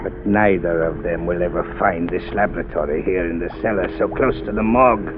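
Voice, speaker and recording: A man's voice: deep voice, a little fast, close to an everyday voice. An actor playing a boastful, murderous male doctor in a 1930s radio drama, menacing and gloating. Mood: sinister calm. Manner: menacing, gloating, softly sinister when calm. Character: cold male mad doctor killer, boastful. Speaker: villain. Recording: tinny old 1930s radio recording with hiss.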